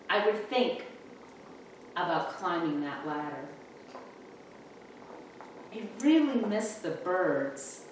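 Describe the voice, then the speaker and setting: Female children's storybook reader: very slow, expressive, deliberate. Heavily reverberant room, distant mic. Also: lowish voice. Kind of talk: children's picture book read-aloud. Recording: echoey, distant mic, faint room noise.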